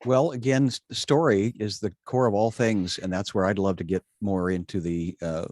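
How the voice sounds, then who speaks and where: A man's voice: lowish voice, steady tone, moderate pace, darkish tone, no room sound, studio quality. A man chatting casually as a member of an online panel. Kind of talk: casual chat. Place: remote video call.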